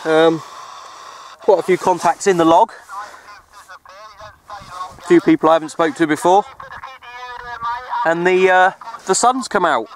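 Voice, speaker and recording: A man's voice: a little high, measured pace, pauses often, slight background noise, low-quality recording. A man chatting informally in a matey way as he narrates an outdoor CB radio session. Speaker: CB radio operator and vlogger. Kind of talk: casual outdoor vlog and radio chat. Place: outdoors on an open hill.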